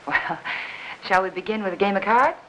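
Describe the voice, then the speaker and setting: A woman's voice: mid-range voice, a little fast, dull audio, close to an everyday voice. An American actress playing a poised, spirited young woman in a 1950s film drama. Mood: cheerful, playful. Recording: faintly noisy 1950s film soundtrack.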